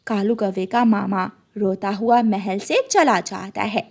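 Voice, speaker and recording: A woman's voice: mid-range voice, steady tone, measured pace, creaky, darkish tone. A woman narrator reading a scripted children's story.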